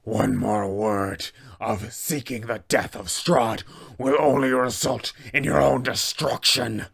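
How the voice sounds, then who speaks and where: Guy putting on a slow gravelly undead knight, deep and raspy, kinda far off the mic. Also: fairly even intonation, a bit bright, full character voice. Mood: wrathful. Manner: menacing, grim. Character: vengeful undead male paladin, wrathful.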